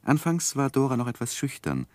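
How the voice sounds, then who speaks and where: An actor playing an earnest, tender gentleman who narrates his life in a gentle, reflective, expressive way in a 1960s German radio play. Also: mid-range voice, fairly quick, no room sound. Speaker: first-person narrator and lead.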